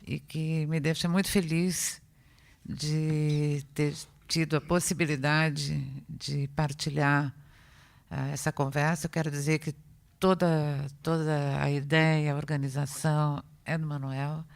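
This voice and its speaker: Slow, gravelly woman laughing through remarks. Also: deep voice, level tone. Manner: slow and hesitant, laughs often. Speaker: seminar co-organizer. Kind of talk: brief informal remarks at a seminar. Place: museum seminar hall.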